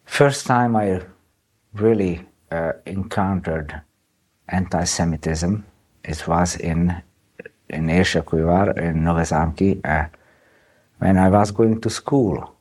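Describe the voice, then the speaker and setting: Interviewee, man in his nineties, gravelly-low and breathy, halting recollection. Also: a little mellow. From Czechoslovakia. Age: 90s. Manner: halting, with restarts and fillers. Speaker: interviewee, Holocaust survivor. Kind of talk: recounting memories in an interview.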